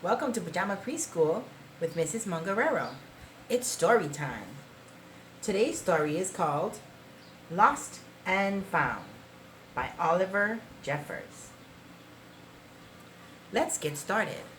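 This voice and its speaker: Woman reading storytime, lively low-pitched swings. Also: a little hiss or hum, poor audio. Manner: deliberate, dramatic phrasing for young children. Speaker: preschool teacher reading a story aloud. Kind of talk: expressive children's picture-book storytime reading.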